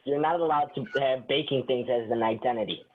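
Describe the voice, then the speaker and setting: A man's voice: mid-range voice, brisk, slight background noise, natural voice. A man playing a sarcastic, dismissive sitcom group leader with a dry delivery in a table read. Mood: sardonic, bossy. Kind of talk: sitcom script read aloud. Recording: thin phone-like sound.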